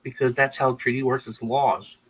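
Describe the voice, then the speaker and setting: A man from Texas who grew up in Germany talks through politics on a livestream. His voice sits at an ordinary middle pitch, and his speech is loose and rambling, full of restarts and self-corrections. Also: level tone, moderate pace, a bit bright, slightly rough, faint music behind, rough recording. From Texas, raised in Germany. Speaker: livestream host. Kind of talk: casual political chat.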